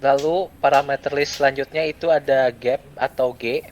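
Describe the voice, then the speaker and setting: A young adult man gives a step-by-step software tutorial, speaking in short, halting phrases with pauses. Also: mid-range voice, fairly even intonation. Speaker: student presenter giving a software tutorial. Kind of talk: step-by-step screen tutorial, unscripted. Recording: clear background noise.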